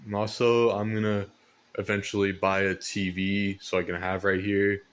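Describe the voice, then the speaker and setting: A man's voice: lowish voice, level tone, normal speed, slight background noise. A young man giving a casual show-and-tell vlog about his collection, hesitant and rambling with repeated phrases. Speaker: collector presenting weather radios. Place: indoors at home at night.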